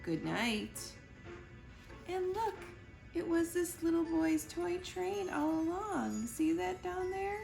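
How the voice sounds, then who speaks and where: Slow, creaky-voiced woman reading a storybook. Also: extremely high pitch, very animated, darkish tone. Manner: deliberate, playful emphasis on rhyming words. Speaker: librarian reading a picture book aloud. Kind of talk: expressive children's storytime read-aloud. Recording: roomy echo with music behind. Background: music.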